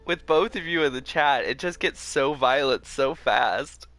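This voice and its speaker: A male game streamer with a bright, forward voice and an unhurried pace. He chats casually and playfully, rambling in a giggly way over faint music. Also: mid-range voice. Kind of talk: casual livestream chat.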